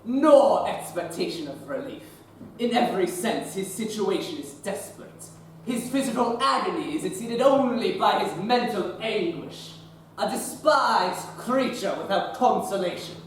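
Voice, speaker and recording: Casting: young man as a pushy Victorian freak-show barker, lively swooping voice, echoey distant stage sound. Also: sits high, a little hiss or hum. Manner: hawking loudly, harsh and pushy. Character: coarse Victorian sideshow barker, bullying. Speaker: actor in a teen stage production. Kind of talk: stage play acting. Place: theater stage.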